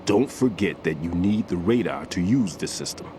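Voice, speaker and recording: A man playing a methodical, somber veteran bomb disposal expert in a video game cutscene, explaining precisely and gravely. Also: deep voice, lively intonation, normal speed, noisy background. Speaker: demolition expert giving instructions.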